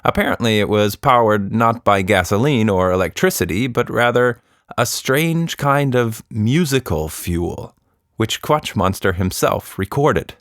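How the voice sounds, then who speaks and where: A man narrating a scripted children's story in an expressive storyteller's manner. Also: on the low side, measured pace, no room sound, studio quality. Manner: expressive, animated reading.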